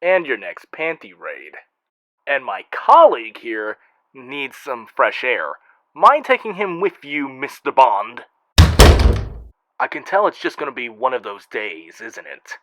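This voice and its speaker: A man hosts a comic fan-mail segment in a wry, theatrical way. His pitch swings widely as he talks at a slow pace, and the sound is dry and close. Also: big pitch swings, fairly bright tone, no echo. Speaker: host and narrator reading fan mail. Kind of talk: scripted comic hosting.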